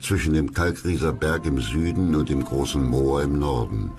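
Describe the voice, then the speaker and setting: A man narrates a documentary with an extremely deep voice. His scripted delivery is measured and dramatic, and loud music plays beneath him.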